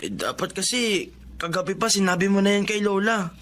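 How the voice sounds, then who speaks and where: A man's voice: sits high, expressive, fairly quick, fairly bright tone. A man playing a timid, caring teenage older brother in a Filipino radio drama, with a meek, hesitant delivery. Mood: worried.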